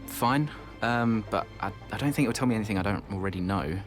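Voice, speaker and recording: An actor playing a frustrated, doubting young racing driver, hesitant and downbeat, in a video game. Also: male voice, lowish voice, fairly quick, lightly acted. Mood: resigned. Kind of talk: video game phone conversation. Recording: music behind the voice.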